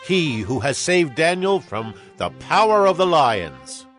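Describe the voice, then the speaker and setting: A man's voice: on the high side, very animated, a little slow, a bit bright. An actor playing an exalted king, declaiming a royal decree in a dramatized scripture reading. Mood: exalted, reverent. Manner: declamatory, regal. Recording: music bed behind the voice.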